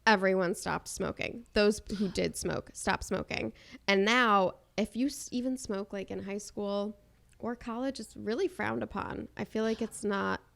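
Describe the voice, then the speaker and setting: A young adult woman who grew up in Chicago, co-hosting a podcast and chatting in an upbeat, measured way. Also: mid-range voice, gravelly, a bit bright. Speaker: podcast co-host. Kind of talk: casual chat.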